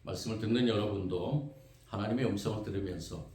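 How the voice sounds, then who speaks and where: Hoarse pastor in an echoey church. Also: male voice, fairly low pitch, brisk. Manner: earnest, steady, with frequent filler sounds. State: hoarse from a cold. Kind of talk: sermon with responsive scripture reading. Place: church sanctuary. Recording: echoey church room, faint noise.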